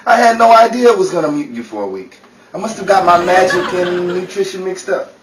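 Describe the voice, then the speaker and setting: Far-off, echoing sound. Actor playing sheepish goofy prankster, high animated voice, radio comedy. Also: male voice, fairly quick. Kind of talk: old-time radio comedy acting. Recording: roomy, distant, noisy recording.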